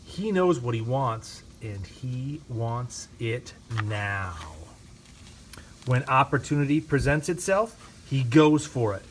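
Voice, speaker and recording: Guy reading a kids book slow and gentle, super lively up and down voice. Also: mid-range voice, a little hiss or hum, low-quality recording. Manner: gentle, expressive storytelling. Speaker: reader. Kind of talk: storybook read-aloud.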